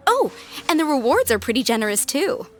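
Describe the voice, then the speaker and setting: A high-voiced, helpful game townswoman. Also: big pitch swings, brisk. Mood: helpful, upbeat. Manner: polite, upbeat, explanatory. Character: friendly, helpful townswoman giving event information. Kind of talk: video game voice acting. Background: music.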